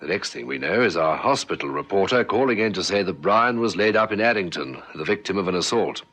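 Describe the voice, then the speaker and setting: A senior newspaper editor in a vintage radio serial. His voice is low, sharp and husky. He is courteous, forthright and likes to explain. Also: animated, fairly quick, full character voice. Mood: explanatory, forthright. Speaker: actor in a radio police drama. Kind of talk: old South African radio drama acting.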